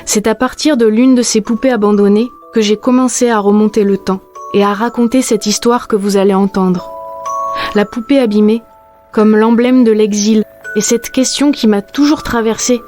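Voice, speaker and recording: A woman's voice: on the high side, fairly even intonation, moderate pace, mellow, faint music behind. A woman in her late thirties from the south of France, narrating in an intimate, reflective first-person voice. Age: late 30s.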